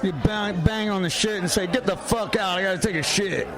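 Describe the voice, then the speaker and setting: Male podcast chatter, high voice, crude and blunt humor, casual delivery over very loud crowd noise. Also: brisk. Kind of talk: casual chat.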